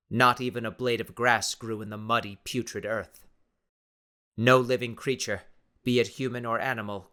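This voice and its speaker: A man reading dramatic scripted narration for an audiobook in a deliberate, theatrical way. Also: lowish voice, sharp tone.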